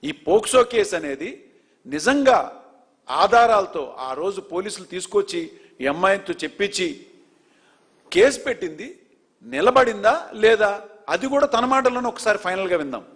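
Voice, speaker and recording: A male news presenter with a notably high voice, talking in an indignant, emphatic way. He keeps pressing with rhetorical questions as he comments on the story. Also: lively intonation, normal speed, pauses often, a bit bright.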